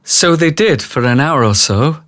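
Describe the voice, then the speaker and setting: A man's voice: a little high, animated, measured pace, a bit bright, no room sound, clean studio sound. A man acting as the detached, knowing narrator of a scripted radio drama. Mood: detached, wry.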